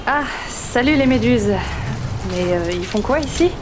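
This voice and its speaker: A woman's voice: on the high side, lively intonation, measured pace, over music. A woman playing a sullen young recycling worker in an audio drama, terse and laconic. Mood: casual.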